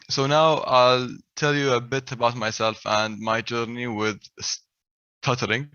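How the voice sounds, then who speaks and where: A young man with a heavy stutter gives a personal talk and repeats the first sounds of many words. His voice is bright and forceful. The recording is dry and a little dull. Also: medium pitch, dry recording. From Saudi Arabia. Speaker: speaker at a stuttering event.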